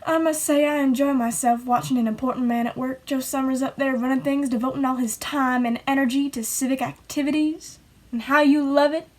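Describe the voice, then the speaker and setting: A woman's voice: high voice, steady tone, brisk, darkish tone. A woman playing a bitter, folksy small-town sister who nags and accuses, in an amateur play acted over video call. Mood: mocking. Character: bitter, defiant small-town woman nagging her brother. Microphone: video call microphone.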